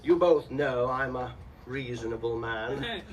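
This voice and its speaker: A man's voice: mid-range voice, unhurried, a crowd in the background, close to an everyday voice. A man acting a sly, pompous villain in hammy radio melodrama, savoring alliteration and taunting all who hear him. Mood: smug gloating. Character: sly gloating melodrama villain, male landlord of a tavern. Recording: distant stage microphone, noisy room.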